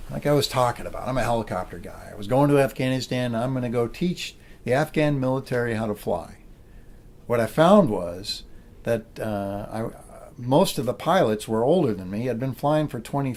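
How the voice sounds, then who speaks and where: A middle-aged man with an American accent, a military pilot giving an anecdotal talk to a room in an animated storytelling manner. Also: medium pitch, creaky.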